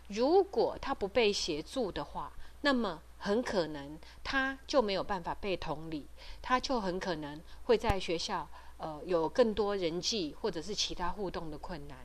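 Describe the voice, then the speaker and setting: A middle-aged woman with a Taiwanese Mandarin accent giving informal advice as a host, explaining things hesitantly with frequent pauses and trailing particles. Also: lowish voice. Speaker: podcast host and parent advocate. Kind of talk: informal advisory talk.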